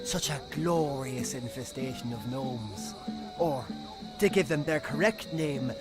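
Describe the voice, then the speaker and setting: A man's voice: mid-range voice, unhurried, natural voice. A man playing an eccentric middle-aged wizard, formal, pedantic and whimsical, in a video game. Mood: courtly. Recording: game music behind.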